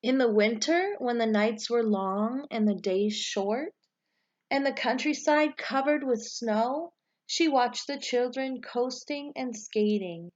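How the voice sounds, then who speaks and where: Higher-voiced woman teacher reading aloud, slightly dull sound. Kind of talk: storybook read-aloud with instructions to pupils.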